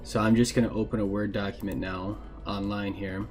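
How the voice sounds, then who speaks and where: A man narrating a casual software tutorial in a plain, informal, explanatory way. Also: lowish voice, little pitch movement, fairly quick, faint music behind. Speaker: instructor giving a software tutorial.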